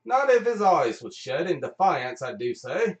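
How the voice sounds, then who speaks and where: A man's voice: on the high side, sing-song, measured pace, dull audio, voice pushed up. A man voicing a fussy chimp with an English accent in an amateur comic radio play. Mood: argumentative. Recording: roomy, mic at a distance.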